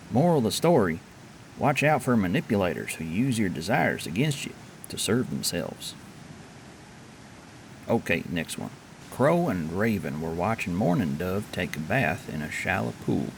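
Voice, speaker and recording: A man's voice: low voice, creaky, slight background noise, rough recording. A man performing as a storyteller, giving theatrical scripted narration.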